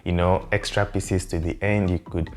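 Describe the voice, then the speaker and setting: Young man, Yoruba native, deep voice, friendly explainer, lapel mic in a small room. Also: faint music behind. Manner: relaxed, friendly explaining, slows to spell out words. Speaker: language vlogger. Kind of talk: explanatory talk to camera.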